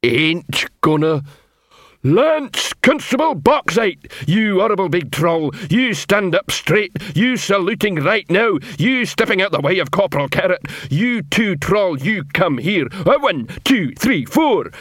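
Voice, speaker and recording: A big, slow-witted troll sergeant, blustering with pride in broken grammar. He barks orders in swings of pitch that often jump high, recorded close and dry. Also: big pitch swings, a bit bright, no echo, exaggerated voice. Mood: barking orders.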